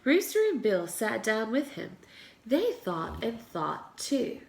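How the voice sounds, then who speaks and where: A woman reading a children's storybook aloud in an expressive way, as a teacher does for young children. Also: a little high, slow pace, vocal fry.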